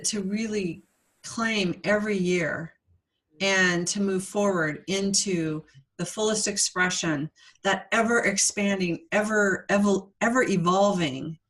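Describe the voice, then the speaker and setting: A woman's voice: mid-range voice, fairly bright tone, low-quality recording. Earnest woman telling a story on a podcast. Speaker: podcast co-host. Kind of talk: casual reflective chat.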